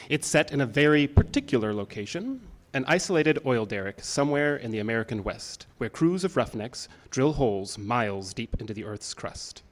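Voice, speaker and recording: A man announcing in a scripted, dramatic style, as a presenter introducing a play. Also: mid-range voice. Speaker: theater announcer.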